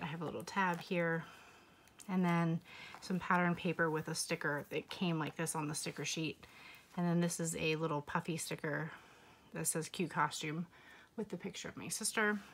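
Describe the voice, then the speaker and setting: A young woman, a little hoarse, casually narrating a scrapbook walkthrough with relaxed, chatty asides. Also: on the low side. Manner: relaxed, chatty, with fillers and occasional whispered asides. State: slightly hoarse, losing her voice. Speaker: scrapbooking vlogger.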